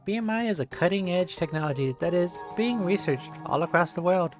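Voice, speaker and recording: Narrator reading aloud over clear music. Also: mid-range voice, animated, brisk. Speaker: streamer reading a visual novel aloud. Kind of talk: expressive read-aloud narration. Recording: game music behind the voice.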